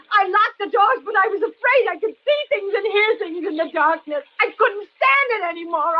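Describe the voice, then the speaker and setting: An actress playing a frantic, unsettling stranded woman with breathless pleas, in vintage radio thriller style. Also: very high-pitched. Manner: breathless, wailing pleas and ominous remarks. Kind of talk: 1940s-50s radio thriller acting. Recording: dull vintage radio recording, faint noise.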